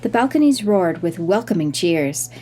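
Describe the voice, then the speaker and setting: A woman's voice: on the low side, expressive, normal speed, warm tone, a little hiss or hum. A woman reading scripted narration for a fantasy audio story.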